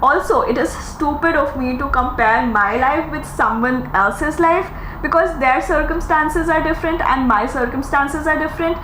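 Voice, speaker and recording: A woman's voice: high-pitched, moderate pace. Chatty woman in her thirties, echoey room. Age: 30s. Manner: earnest, chatty, with animated quoted asides. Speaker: podcast host. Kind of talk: casual reflective monologue. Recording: echoey room with faint noise.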